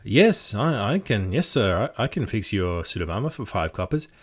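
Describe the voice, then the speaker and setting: A man's voice: on the low side, sing-song, normal speed, husky. A man voicing an eager, servile male blacksmith who stammers.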